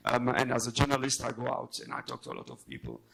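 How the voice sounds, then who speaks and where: A man speaking as a journalist on a debate panel, halting but emphatic in his unscripted remarks. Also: medium pitch, huge pitch swings, creaky, crowd noise.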